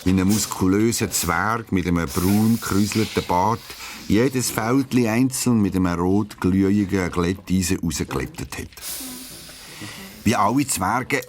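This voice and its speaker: A man's voice: sits low, a little hiss or hum. A man narrating a children's audio story expressively, drawing out key words. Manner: expressive storytelling, drawn-out emphasis.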